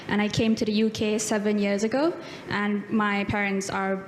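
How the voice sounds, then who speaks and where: A woman's voice: medium pitch, little pitch movement, normal speed, slight background noise. A woman from Malaysia speaks on a conference panel in a wry, friendly way, with a mid-range voice. Her speech echoes around a large hall with a long reverb tail. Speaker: panelist, UI artist.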